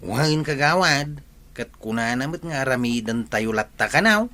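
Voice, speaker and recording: Bright, eager village man, played by an actor. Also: mid-range voice, animated, normal speed. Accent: Ilocano Filipino. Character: eager, coaxing village man rallying neighbors to help. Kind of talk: Ilocano radio comedy drama acting.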